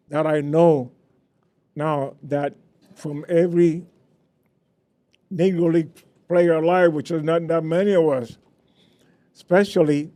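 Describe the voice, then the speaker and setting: Older Cuban man, mellow voice. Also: on the high side. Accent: Cuban Spanish. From Cuba. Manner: earnest, proud, with restarts. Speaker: panel guest, former baseball player. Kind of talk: recounting memories on a panel.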